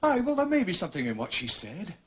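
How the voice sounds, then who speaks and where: Very husky Scottish policeman. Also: sits high, huge pitch swings, fast talker, a bit bright, exaggerated voice. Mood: probing. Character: probing, steady Scottish police officer. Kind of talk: British radio drama acting.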